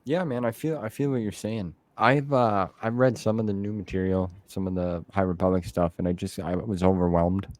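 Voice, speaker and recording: Low-voiced man chatting casually, dry sound. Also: fairly even intonation, moderate pace, few pauses, a little mellow, dry recording. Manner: informal, rambling, uses "man" and "dude" often. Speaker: interview host. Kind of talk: casual conversational interview chat.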